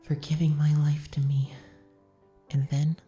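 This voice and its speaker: A man voicing a delicate, timid young girl in a soft, breathy, earnest way for an audiobook. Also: a little high, completely flat delivery, a little slow, soft background music, pitched above the performer's own voice. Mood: tender, bittersweet farewell. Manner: soft, breathy, whispered delivery. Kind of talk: dramatic audiobook character voice.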